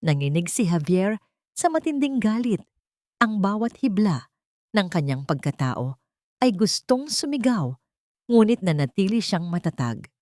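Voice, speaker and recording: A woman narrating a dramatic audio story with expressive, swinging intonation. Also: medium pitch, a little slow, no echo, clean studio sound.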